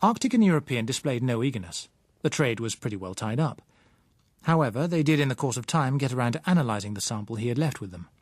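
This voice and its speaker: A man with a British accent reading a novel as narrator, measured and dryly wry. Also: medium pitch, no echo, clean studio sound. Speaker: audiobook narrator. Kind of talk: scripted literary narration.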